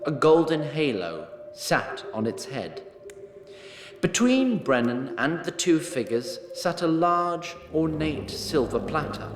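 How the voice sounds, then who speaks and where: Slow, dramatic male narrator in echoing space. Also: medium pitch. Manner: measured, dramatic, wry in places. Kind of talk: scripted fantasy story narration.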